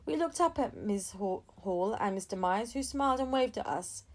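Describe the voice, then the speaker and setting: A woman reading a children's novel aloud as an expressive storyteller. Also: medium pitch, normal speed. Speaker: audiobook reader.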